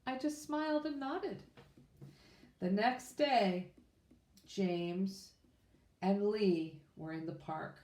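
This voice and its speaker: Slow-reading woman storyteller in echoey room. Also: medium pitch. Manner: expressive, with informal asides. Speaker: children's book reader. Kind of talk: read-aloud storybook narration.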